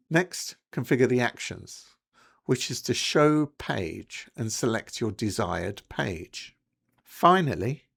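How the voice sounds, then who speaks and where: A man presenting a technical support tutorial, reading scripted narration in a clear, instructional way, one step at a time. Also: mid-range voice.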